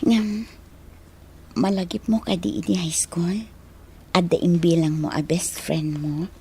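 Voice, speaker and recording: A woman's voice: fairly low pitch, steady tone, unhurried, dark tone, breathy edge, heavily acted. An actress playing an earnest, hesitant young woman confessing to a sweetheart in a radio drama, with an Ilocano accent. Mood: serious, confessing. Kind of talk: Filipino radio drama acting.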